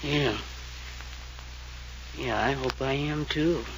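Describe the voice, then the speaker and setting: A man's voice: mid-range voice, takes it slowly. An actor playing a tipsy, flippant, well-bred man, giggly and mock-polite, in a 1940s radio mystery. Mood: drily musing. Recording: noisy old radio transcription.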